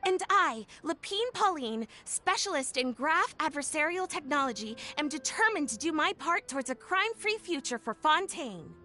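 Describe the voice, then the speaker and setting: A peppy salesy inventor. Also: female voice, big pitch swings, moderate pace, hardly any pauses, pushed and bright, exaggerated voice. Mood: determined. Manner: upbeat pitchman patter, explains eagerly. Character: enthusiastic young woman tech inventor, salesy. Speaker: game character. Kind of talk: video game voice acting.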